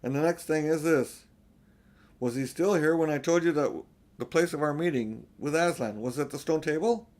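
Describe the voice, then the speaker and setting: A man's voice: fairly high pitch, moderate pace, darkish tone, lightly acted. A man voicing a grave, worldly-wise male beaver from a storybook, speaking in an emphatic, warning way. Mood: urgent, worried. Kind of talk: storybook character voice in an audiobook.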